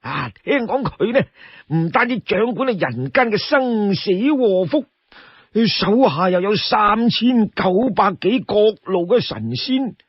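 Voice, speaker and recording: A man voicing an easygoing, money-minded old townsman in a Cantonese story, chatty and full of drawn-out interjections. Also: high-pitched, big pitch swings, slightly rough, no room sound, muffled recording, pitched above the performer's own voice, full character voice. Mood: proud, chatty.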